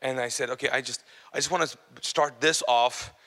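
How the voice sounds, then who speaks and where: A man's voice: mid-range voice, steady tone, moderate pace, fairly bright tone. A man whose first language is Urdu tells a personal testimony to an audience in an informal, comic way, acting out dialogue and repeating phrases for emphasis. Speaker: speaker giving testimony to an audience. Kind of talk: animated storytelling sermon, acting out dialogue. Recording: long hall reverb, live stage.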